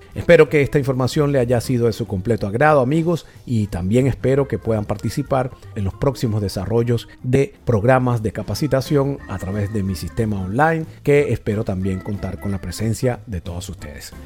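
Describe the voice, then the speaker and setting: A man hosting a podcast episode, giving measured, motivational advice on communication as a trainer. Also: fairly low pitch, mellow. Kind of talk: instructional podcast monologue.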